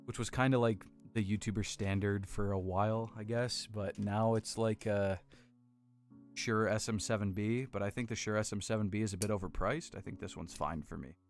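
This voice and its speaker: An American man with a deep voice chatting casually and rambling a little as he hosts a livestream on a close desk microphone. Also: fairly even intonation, normal speed, faint music behind. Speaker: livestream host building model kits. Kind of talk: casual chat with live viewers. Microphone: close desk condenser microphone.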